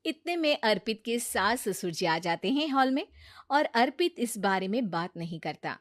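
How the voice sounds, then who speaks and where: A woman's voice: on the high side, sing-song, normal speed, dry recording, studio quality. A woman narrating a scripted moral story as a storyteller.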